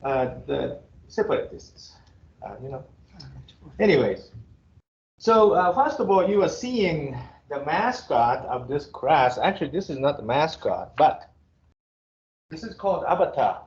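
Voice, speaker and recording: A male university professor with a Japanese accent, opening the first day of class in a casual, joking way, halting now and then with fillers. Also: fairly high pitch, big pitch swings. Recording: echoey, dull classroom recording with faint hiss.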